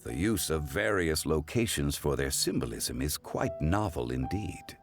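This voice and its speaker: Game cutscene: a deep, composed scholar critic; lively intonation, formal delivery. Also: male voice, music in the background, heavily acted. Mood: measured. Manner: measured, formal, explanatory. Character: calm, scholarly older-seeming man judging poetry.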